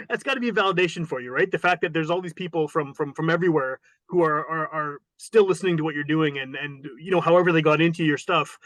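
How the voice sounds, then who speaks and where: A man's voice: fairly high pitch, quick pace, a bit bright, dry recording, low-quality recording. A man hosting a podcast, asking long winding questions full of restarts and repeated words. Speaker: podcast host. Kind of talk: interview questions.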